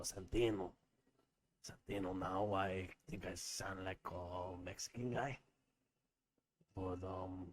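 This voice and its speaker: A man's voice: deep voice, slightly rough. A young man hosting a comedic podcast, rambling and riffing with profanity and playful bits. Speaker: podcast host.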